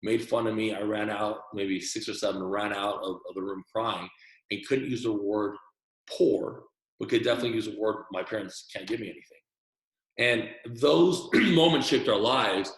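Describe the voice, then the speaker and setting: Man, low voice, from Taiwan, earnest storyteller, repeats words, echoey room. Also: moderate pace. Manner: earnest, repeats words when starting sentences. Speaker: podcast guest. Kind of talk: casual interview storytelling.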